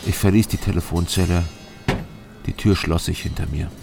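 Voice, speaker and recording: Brooding East ex-guard, gravelly fry, terse radio noir delivery, loud music bed. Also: male voice, sits low, steady tone, normal speed. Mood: brooding, tense. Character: East German ex-border guard, brooding, guarded first-person narrator. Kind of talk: German radio crime drama acting and narration.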